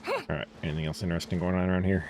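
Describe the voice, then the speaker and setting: A man's voice: low voice, wildly swooping pitch, very fast. A man doing casual live game commentary, wry and joking as he thinks aloud. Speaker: live streamer playing a game. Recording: game music behind. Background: game music.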